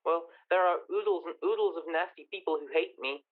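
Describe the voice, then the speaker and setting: A man's voice: fairly high pitch, a little fast. An actor playing a nervous, obnoxious man who fears murder, hesitant and stammering, in a radio mystery through a telephone effect. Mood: anxious. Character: nervous, obnoxious male caller fearing his own murder. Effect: telephone filter. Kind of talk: 1940s radio comedy-mystery acting. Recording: thin, narrow telephone-band sound.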